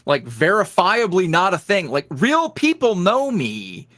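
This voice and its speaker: A man's voice: high voice, very animated, unhurried, a bit bright, no room sound, clean studio sound. A man being interviewed as a guest, chatting casually and telling rambling stories with frequent laughs. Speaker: ASMR roleplay creator, podcast guest.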